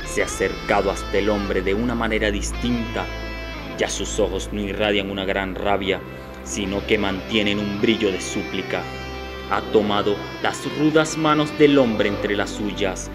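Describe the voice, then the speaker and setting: A man's voice: lowish voice, fairly even intonation, moderate pace. A man narrating a scripted radio drama in a solemn, dramatic manner. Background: guitar music.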